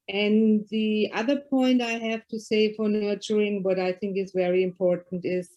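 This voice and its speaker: A woman's voice: mid-range voice, warm tone, poor audio. A woman from Germany with a German accent, a Lions past district governor, sharing her thoughts on a video call in careful, slightly non-native English.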